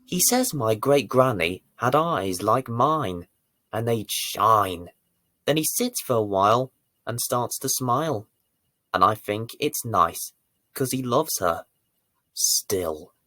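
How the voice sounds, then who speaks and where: A man reading a bedtime story to young children as its presenter, with deliberate, theatrical emphasis. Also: mid-range voice, animated, no echo. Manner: deliberate, theatrical emphasis with dramatic swells and hushes.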